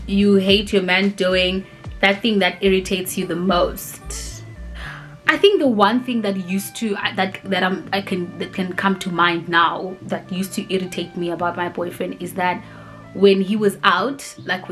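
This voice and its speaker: Chatty young woman. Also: mid-range voice. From grew up in Cape Town, South Africa. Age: 20s. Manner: rambling and animated, many "like" and "you know" fillers. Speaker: vlogger answering viewer questions. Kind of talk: casual chatty Q&A.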